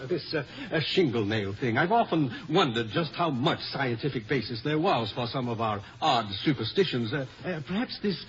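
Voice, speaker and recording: An actor with an American accent playing a flustered, pompous, queasy older man in 1950 radio comedy, halting and stammering but eager to please. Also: medium pitch, expressive, lightly acted. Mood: uneasy, flustered. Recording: muffled old radio broadcast recording.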